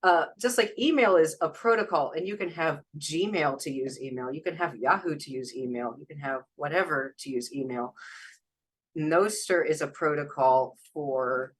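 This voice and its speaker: A woman's voice: deep voice, normal speed, breathy edge. A woman guest speaking casually in an interview, a translator and former Chinese teacher, rambling and chatty with frequent laughs. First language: English.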